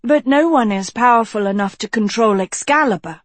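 Young heroine, female reader, fantasy audiobook: lifted pitch, sharp-minded, insistent, questioning, challenging. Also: measured pace, dry recording.

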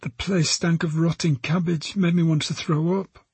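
Audiobook teenage girl, hurt, scared but defiant, played by a male narrator, pitched high with a very husky edge. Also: fairly even intonation, normal speed, darkish tone, dry recording. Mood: subdued, uneasy. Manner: sullen, wary. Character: frightened, defiant injured teenage girl witness. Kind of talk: audiobook character voice.

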